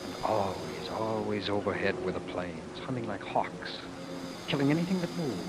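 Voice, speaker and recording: A man's voice: on the low side, lively intonation, normal speed, heavy background noise, natural voice. An actor playing a haunted, intense man narrating his flight from war, brooding and theatrical, in an old radio drama. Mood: bitter intensity. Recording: vintage radio recording with music behind.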